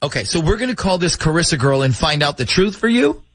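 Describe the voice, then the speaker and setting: A man's voice: on the high side, sing-song, fast, no room sound. A man hosting a radio call-in show, interviewing conversationally with probing questions and recaps. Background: background music.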